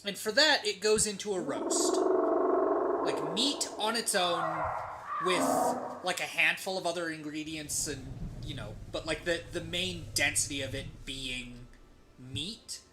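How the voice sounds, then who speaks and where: A streamer telling a story casually and comedically while gaming, with profanity, dramatic pauses and rhetorical tags. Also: mid-range voice, very noisy. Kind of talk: casual comedic storytelling during game play.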